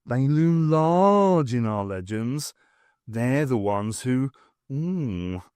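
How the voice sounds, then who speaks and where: A man's voice: medium pitch, animated, drawn-out words, a little mellow, clean tone, no echo. Man doing a hesitant pantomime villain vizier. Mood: wistful.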